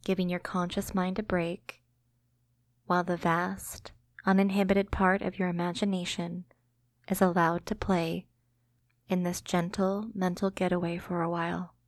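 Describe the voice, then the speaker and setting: A woman narrating a scripted guided imagery meditation in a gentle, calming, measured way. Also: fairly low pitch, no echo, studio quality.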